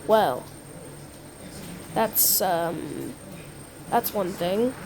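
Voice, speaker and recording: A woman improvising a disaster roleplay for a game video, speaking haltingly with repeated words and stumbles. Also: medium pitch, lively intonation. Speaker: gamer recording a roleplay video. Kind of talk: improvised disaster roleplay with mock alert announcements. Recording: clearly noisy background.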